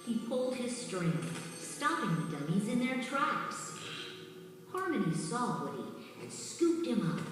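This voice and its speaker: A woman's voice: a little high, big pitch swings, unhurried. A woman reading scripted children's storybook narration as the narrator of a read-along. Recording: very echoey distant hall, music underneath.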